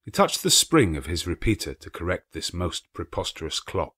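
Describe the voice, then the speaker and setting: A low-pitched male narrator reads classic fiction in a very dry acoustic. His delivery is theatrical and measured, leaning on key phrases with clear emphasis, as a storyteller would. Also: sing-song, fairly bright tone, dry recording. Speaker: audiobook narrator.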